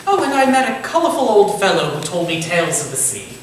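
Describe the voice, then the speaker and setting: A man playing a courteous, eager Victorian gentleman writer with a genteel, theatrical manner in a staged play reading. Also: high-pitched, sing-song, brisk. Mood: polite, eager. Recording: very echoey hall, distant mic, faint noise.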